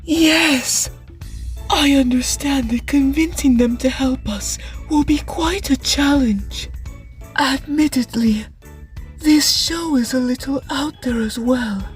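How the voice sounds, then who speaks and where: Casting: woman as polite young male anime show director, high-voiced, unhurried, deliberate and quietly scheming. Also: soft background music. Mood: measured, earnest. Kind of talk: anime fan dub acting.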